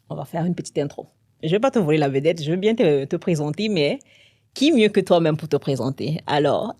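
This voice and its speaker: A woman's voice: sits low, mellow, dry recording, studio quality. A woman hosting a podcast, putting her guest at ease in a friendly, reassuring way. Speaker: podcast host. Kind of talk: casual interview.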